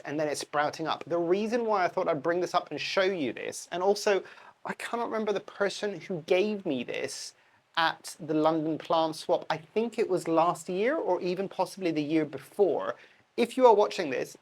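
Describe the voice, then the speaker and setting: Low-voiced stuffy woman chatting about plants. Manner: chatty, rambling, self-correcting with laughs. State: stuffy, bunged up from a cold. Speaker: houseplant channel host. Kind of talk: casual chat to camera. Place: indoor plant room at home.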